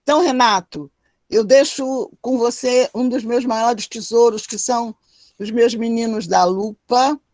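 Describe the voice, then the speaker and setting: A woman's voice: a little high, darkish tone, no echo. An older woman with a Brazilian accent moderates an online panel and introduces the guest in an affectionate, playful way over a video call. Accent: Brazilian Portuguese.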